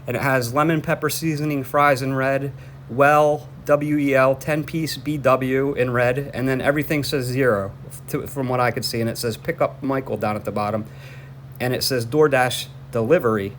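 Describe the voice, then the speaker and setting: A man's voice: medium pitch, fairly even intonation. Guy on a phone call reporting something, keeps repeating himself, stumbly and insistent, bit of noise. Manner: repetitive, halting, insistent. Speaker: caller reporting a suspicious order to police. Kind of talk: phone conversation, explaining a complaint. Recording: noisy phone call recording.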